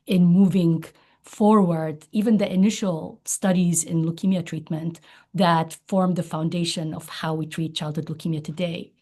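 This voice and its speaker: A middle-aged woman speaks in a lowish, very mellow voice. She talks as a medical guest at a webinar, semi-formal and measured. She often pauses and searches for the next word. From Lebanon. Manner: measured, hesitant, searching for words. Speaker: pediatric oncologist, guest speaker.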